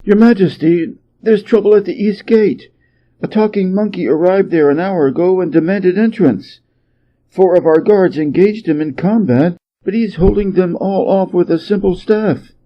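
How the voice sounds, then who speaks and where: A man's voice: sits high, moderate pace, voice pushed up. A man voicing a dutiful guard lieutenant making an urgent, formal report in a storybook reading, slightly muffled recording. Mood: alarmed. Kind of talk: storybook character voice.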